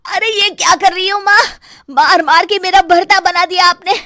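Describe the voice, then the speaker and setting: Woman doing a cartoon young man, wailing, aggrieved, very high, forceful. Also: fairly even intonation, moderate pace, dry recording, studio quality. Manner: wailing, exaggerated. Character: yelping, aggrieved young man, beaten and bewildered. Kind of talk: Hindi cartoon story dialogue.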